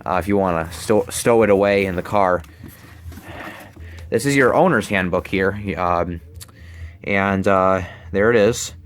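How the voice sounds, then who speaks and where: Low-voiced young man, halting casual talker. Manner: halting, repeats words. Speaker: hobbyist presenter. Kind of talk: casual product show-and-tell vlog. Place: bedroom, indoors. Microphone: handheld camera, close behind it.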